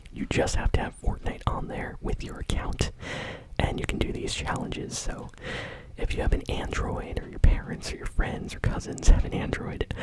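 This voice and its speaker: A woman hosting gaming news in an ASMR style, speaking in a soft breathy whisper with a casual, chatty, unhurried way of explaining. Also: poor audio.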